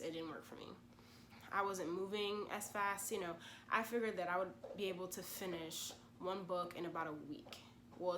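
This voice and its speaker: A woman's voice: lowish voice, a bit bright, a little hiss or hum. A young woman from Louisiana, chatting through a vlog while congested from a cold, with lots of "you know" asides. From Louisiana, USA. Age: 20s. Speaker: vlogger and pre-med gap-year student. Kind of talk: casual explanatory vlog talk.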